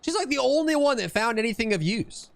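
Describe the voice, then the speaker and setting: A man's voice: very high-pitched, lively intonation, fairly quick, creaky, no echo. A man doing casual, jokey playthrough commentary as a gaming streamer, often cutting himself off and exclaiming. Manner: jokey, self-interrupting, exclaiming. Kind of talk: casual playthrough commentary mixed with reading game dialogue aloud.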